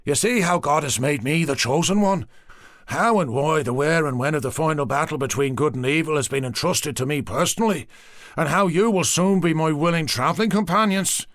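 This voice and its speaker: A man's voice: a little high, a little fast, a bit bright, dry recording, studio quality, pitched above the performer's own voice, natural voice. A man voicing a manic, zealous priest who preaches fervently and slips into rapture. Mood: sermonizing. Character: manic, zealous middle-aged male priest.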